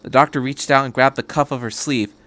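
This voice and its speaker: A man's voice: mid-range voice, fairly even intonation, brisk, fairly bright tone. A man reading a story aloud as a podcast host, in scripted narration. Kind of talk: scripted narration of fan fiction.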